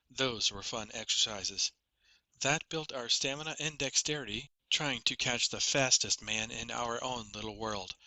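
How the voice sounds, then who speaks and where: A man's voice: mid-range voice, pushed and bright, no room sound, poor audio. A man reading a first-person fantasy story as a scripted audiobook narration.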